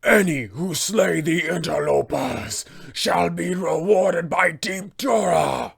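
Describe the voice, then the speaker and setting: A man voicing an insane old dwarf king villain for a tabletop game, with drawn-out, theatrical delivery. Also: on the high side, gravelly, slightly rough, pitched above the performer's own voice, full character voice. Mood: menacing, commanding.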